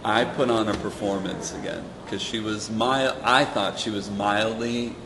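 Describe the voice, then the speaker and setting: A man playing a tabletop game, describing his character's actions haltingly, with many fillers. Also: fairly low pitch, fairly even intonation, roomy sound, long reverb tail, noisy background. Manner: halting, full of fillers and restarts. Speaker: player.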